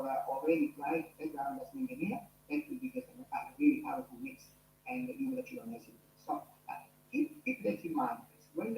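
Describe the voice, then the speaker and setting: A man's voice: sits high, darkish tone, echoey room, a little hiss or hum, low-quality recording. A man with an Italian accent, a university lecturer speaking to his online class in an earnest way, with many fillers and restarts. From Italy.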